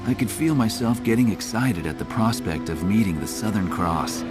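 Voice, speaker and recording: A man performs a war correspondent narrating a video game story in a low voice. His delivery is measured and literary, with a reflective, world-weary temper. Loud music plays behind him throughout. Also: animated, lightly acted. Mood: reflective, grave. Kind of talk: scripted first-person game story narration.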